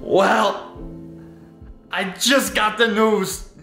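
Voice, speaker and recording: A man's voice: high voice, steady tone, sharp tone, faint music behind, heavily acted. A man voicing a foul-mouthed, hotheaded male football coach with a Boston accent and a combative, trash-talking manner. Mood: gloomy. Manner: gravelly, slow, combative trash talk. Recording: echoey room.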